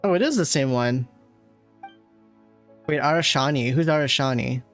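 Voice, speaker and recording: A woman's voice: very deep voice, moderate pace, faint music behind. A woman game streamer giving casual, informal live reactions, musing aloud as she plays.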